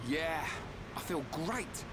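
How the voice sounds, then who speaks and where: An actor playing a brash, loyal young fighter in a game who speaks bluntly and confidently. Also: male voice, a little high, lively intonation, slow pace, heavy background noise.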